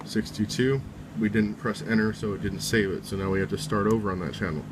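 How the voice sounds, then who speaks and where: A man with a fairly low voice walks the listener through instructions one step at a time. He speaks informally and likes to repeat each step for emphasis. The homemade recording has clear, steady noise behind him. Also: fairly quick. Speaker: tutorial presenter. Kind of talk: casual how-to instruction, step by step.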